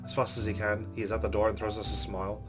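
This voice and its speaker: Casting: male let's play host, fairly low voice, mixes narration reading with casual remarks over music. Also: flat delivery, fast. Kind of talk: reading game narration aloud with casual commentary.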